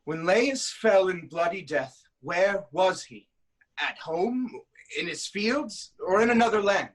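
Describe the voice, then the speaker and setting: A man playing a proud, commanding king of ancient Thebes in a staged reading of Greek tragedy, declaiming deliberately. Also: high voice, animated, full character voice. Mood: questioning.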